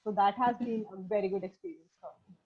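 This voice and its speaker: A woman's voice: medium pitch, fast, husky, phone-quality sound. A woman talking casually in a group discussion on a video call.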